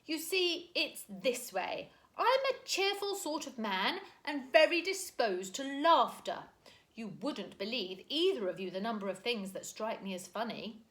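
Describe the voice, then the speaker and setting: A woman reading aloud, voicing a loud, cheerful older uncle who is given to laughter. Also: big pitch swings. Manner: booming, slow and jovial. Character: jolly round old uncle, loud and cheery.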